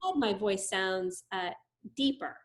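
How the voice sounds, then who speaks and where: Female host, middle-aged, conversational interviewer, medium pitch. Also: a little slow. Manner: chatty, affirming, many fillers.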